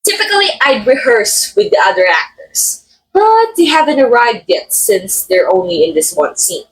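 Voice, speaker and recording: Woman playing a haughty, high-voiced, animated film actress. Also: moderate pace, rough recording. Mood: condescending, smug. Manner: theatrical, sardonic, drawling some words for emphasis. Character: haughty, catty film actress, condescending yet playfully menacing. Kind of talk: ASMR roleplay monologue, voice acting.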